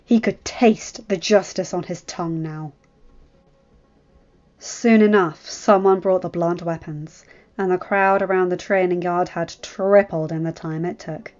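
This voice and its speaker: A woman's voice: fairly low pitch, fairly even intonation, unhurried, a little mellow. Woman reading a story, slightly muffled. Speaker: fan fiction audiobook reader. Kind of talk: expressive scripted story narration.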